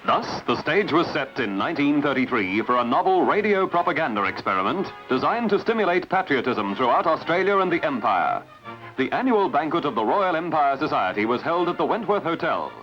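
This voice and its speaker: A man's voice: fairly high pitch, very animated, fairly quick, highs cut off. A man reading scripted documentary narration as a newsreel narrator. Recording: band-limited archive sound over music. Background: music.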